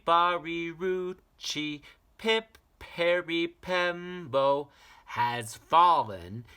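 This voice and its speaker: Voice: mid-range voice, sharp tone, clear, resonant tone, voice pushed up. A storyteller voicing a small, polite, breathless boy who strains to chant a very long name. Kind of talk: storybook reading.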